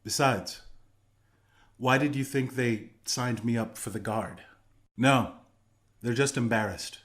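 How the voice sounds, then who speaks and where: A young griffin rebel from a video game, a former soldier. His medium-pitched voice is conversational and earnest. He speaks plainly, like someone explaining a plan to a comrade. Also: slow, fairly bright tone. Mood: resentful. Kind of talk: video game character dialogue.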